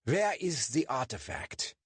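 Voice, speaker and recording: A man's voice: medium pitch, big pitch swings, a little slow, fairly bright tone, husky, no room sound, voice pushed up, heavily acted. A man voicing a hostile German soldier with a German accent, harsh and accusing. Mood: demanding.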